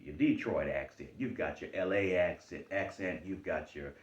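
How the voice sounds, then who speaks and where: Deep voiced guy w/ charleston geechee accent, preachy self-help talk, roomy kinda dull sound. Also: normal speed. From Charleston, South Carolina. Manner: emphatic, repetitive, lively swings in pitch. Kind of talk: motivational talk.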